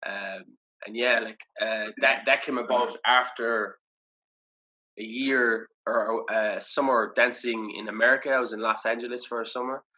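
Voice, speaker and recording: Young Irish man, podcast guest, reflective, bright tense tone, dull lo-fi audio. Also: fairly low pitch. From Ireland. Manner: reflective, loose, many fillers and restarts. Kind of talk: casual interview chat.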